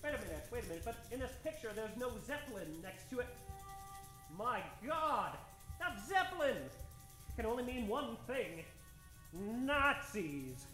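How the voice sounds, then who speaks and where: An actor playing an eager, fearless doctor adventurer in old-time radio style, with theatrical, old-fashioned diction. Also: male voice, extremely high pitch, big pitch swings, measured pace, bright, forceful tone, full character voice. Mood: excited alarm. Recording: roomy stage recording, music underneath.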